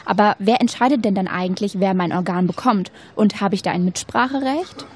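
Woman acting Curious young female student, educational radio play; medium pitch, neat conversational read, open-minded. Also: fairly quick, slightly rough, lightly acted. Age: young adult.

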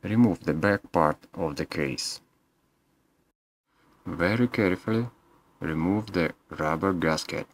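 Very deep-voiced man giving slow instructions. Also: breathy edge, no room sound. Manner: terse step-by-step instructions. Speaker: repair technician. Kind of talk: scripted how-to narration.

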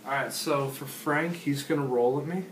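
A man's voice: mid-range voice, normal speed. A man chatting casually as he plays his turn in a card game, recorded in a small echoey room. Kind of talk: casual chat during play. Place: small room at a wooden table, indoors. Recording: echoey room, faint noise.

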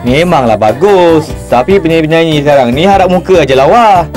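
Male actor as middle-aged cartoon dad, highish voice, lecturing, opinionated, Singaporean Malay accent. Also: animated, fairly quick, darkish tone, soft background music, lightly acted. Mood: grumbling. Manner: grumbling, lecturing. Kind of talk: cartoon voice acting.

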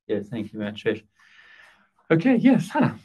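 A man's voice: medium pitch, huge pitch swings, moderate pace, dark tone, breathy edge, lo-fi sound. English man chairing a board meeting. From England. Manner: courteous, lightly self-deprecating.

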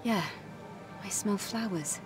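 Brief: woman plays stoic young female fighter for a game, medium pitch, serious and restrained, music behind. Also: slow, close to an everyday voice. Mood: calm. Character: stoic young female warrior, calm, reserved and resolute. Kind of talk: English video game voice acting.

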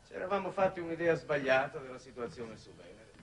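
An actor playing an idealistic, wordy head of a space commission in a 1960s Italian television drama, oratorical and halting. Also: male voice, fairly high pitch, lively intonation. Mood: calm, persuasive. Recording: noisy old television soundtrack.

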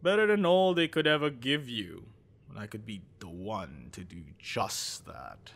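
Guy voicing a creepy obsessed young shapeshifter boyfriend, asmr roleplay, intimate and possessive, lots of vocal fry, drags words out slow. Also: fairly low pitch, expressive, a bit bright, heavily acted. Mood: persuasive, possessive.

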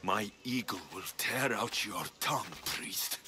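An actor playing a tough, blunt, sardonic mercenary of ancient Greece in a video game. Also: male voice, fairly low pitch, takes it slowly. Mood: menacing. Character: tough, wry male Spartan mercenary, blunt and menacing. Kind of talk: video game character acting.

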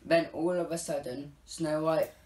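A teenage male narrator reading a rhyming storybook script aloud, a little haltingly. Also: a little high, level tone, darkish tone. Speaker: narrator of a Scouts pantomime. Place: living room at home, indoors. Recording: roomy echo, faint background noise.

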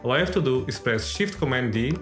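A man whose first language is Portuguese, giving a clear, instructive scripted software tip. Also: mid-range voice, expressive, fast, reverberant, soft background music.

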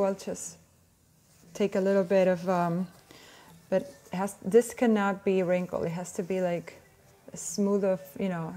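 Soft-spoken woman with an Argentine accent. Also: mid-range voice. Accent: Argentine Spanish. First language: Spanish. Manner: chatty, gentle, searching for words. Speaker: art tutorial host. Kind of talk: casual drawing tutorial. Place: indoor room at home.

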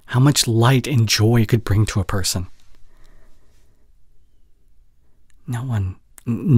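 A young man playing a caring, heartbroken boyfriend in an intimate audio roleplay, speaking haltingly and earnestly, often dropping to near whisper. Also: fairly low pitch, slightly rough, no echo, clean studio sound. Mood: tender, earnest. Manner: halting, earnest, pausing mid-sentence. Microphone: close studio condenser mic.

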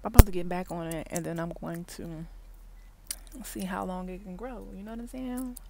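Woman, thirties, casual ASMR car chat, soft whispers, earbud mic, low voice. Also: steady tone, normal speed, creaky. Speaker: ASMR vlogger. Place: parked car. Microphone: wired earbud mic held near mouth. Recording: faint background noise, mouth clicks.

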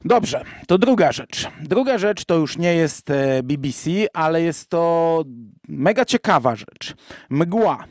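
A male podcast co-host with a fairly high-pitched voice discusses and reviews in a casual, discursive manner. The sound is dry and close to the microphone. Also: animated, slow, dry recording. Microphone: close microphone on a remote virtual-studio call.

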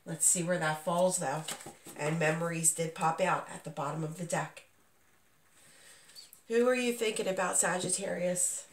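Lady tarot reader chatting to viewers, gravelly fry, bit sick sounding, echoey room. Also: on the low side, normal speed, long pauses, slight background noise. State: getting over being sick, voice not at full strength. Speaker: tarot reader, channel host. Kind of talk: casual spoken tarot reading. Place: indoors, small dim room at a table. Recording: roomy echo, distant microphone.

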